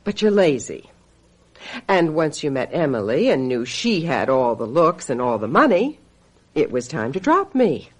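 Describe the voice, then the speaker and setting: Sardonic, sharp-tongued female agent, swooping pitch. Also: very animated, husky, exaggerated voice. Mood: bitter, biting. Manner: sardonic, brisk, cutting. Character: sharp-tongued, embittered female talent agent, secretly a witch. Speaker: actor in a radio drama. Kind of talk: radio drama acting.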